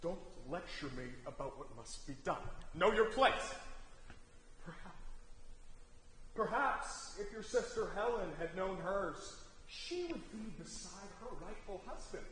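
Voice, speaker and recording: A man's voice: high-pitched, very animated, a little slow, a bit bright, heavily acted. A man playing a domineering, short-tempered warrior king and husband in a stern, declamatory stage style. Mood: furious, scornful. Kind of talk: theatrical stage acting. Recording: echoey theatre hall with faint noise.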